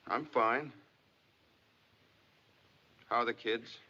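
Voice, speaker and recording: A man's voice: mid-range voice, steady tone, sharp tone, full character voice. An actor in a 1950s Hollywood film playing an earnest, anxious husband and father. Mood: tender, nervous. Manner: halting, earnest. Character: anxious, estranged husband and father phoning his wife. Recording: dull old film soundtrack.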